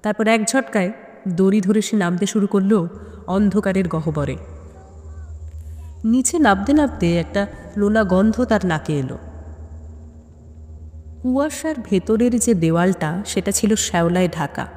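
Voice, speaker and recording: A woman's voice: mid-range voice, lively intonation, a little slow, pauses often, dark tone. Woman narrating suspense in long reverb. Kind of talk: dramatic scripted suspense narration.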